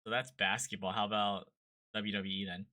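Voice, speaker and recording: A man's voice: on the low side, flat delivery, moderate pace, pushed and bright. A man hosting a podcast, asking curious follow-up questions in a casual interview chat. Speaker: podcast host.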